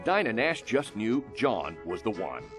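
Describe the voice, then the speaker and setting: An American male reporter tells a human-interest story in scripted, dramatic fashion. His pitch moves in lively sweeps through short, emphatic phrases and pauses. Music plays softly under his voice. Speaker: news reporter narrating a feature story.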